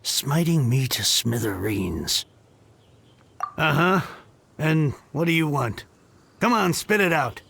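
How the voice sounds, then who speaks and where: A man's voice: a little high, animated, slow, creaky, long pauses, a bit bright, a little hiss or hum, heavily acted. An actor playing a dramatic, self-pitying older male book collector who rants theatrically about his lost books in a game. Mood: exasperated. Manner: theatrical ranting, exasperated. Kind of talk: game voice acting.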